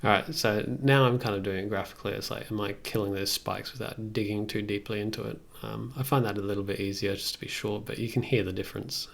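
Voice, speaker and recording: Very creaky-voiced young man thinking aloud. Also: on the low side, fairly even intonation, quick pace, hardly any pauses. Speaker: music production livestreamer. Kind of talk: casual tutorial chat while working. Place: indoors at a computer desk.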